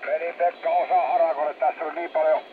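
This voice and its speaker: A man's voice: on the high side, flat delivery, narrow, tinny audio. A man chatting casually as an amateur radio operator over a shortwave link. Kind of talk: casual ham radio contact. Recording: thin shortwave radio transmission with static. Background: radio static.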